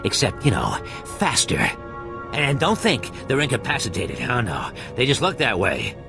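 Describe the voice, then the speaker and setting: An actor playing a cagey, sarcastic pirate doctor full of bluster in a video game, with music behind. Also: male voice, medium pitch, wildly swooping pitch, normal speed. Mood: sardonic, threatening. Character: sardonic pirate doctor, cagey, bluffing, threatening lowlife.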